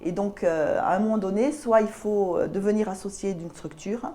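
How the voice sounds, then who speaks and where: A middle-aged woman with a southern French accent answering interview questions about her work, often pausing mid-phrase to pick her words. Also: mid-range voice, mellow. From south of France (Albi). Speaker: guest, business lawyer.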